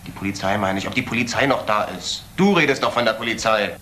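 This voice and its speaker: A man's voice: on the low side, fast, sharp tone. An actor playing a cynical, scornful young man in a crime drama, curt and sarcastic. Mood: curt, suspicious. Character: cynical, scornful nephew of a drinker. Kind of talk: German crime drama acting. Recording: roomy, noisy drama soundtrack.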